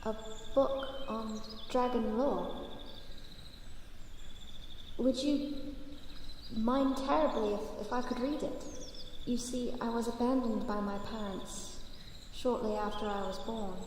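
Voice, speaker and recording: A woman voicing a shy, gentle young female dragon in an ASMR roleplay, speaking softly and haltingly. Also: a little high, fairly even intonation. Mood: shy, hopeful. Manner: soft, slow, halting. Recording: echoey hall from far off, faint noise.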